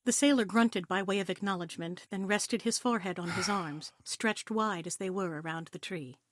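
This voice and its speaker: A woman narrating an audio play as an expressive scripted storyteller. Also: mid-range voice, normal speed, no echo, studio quality.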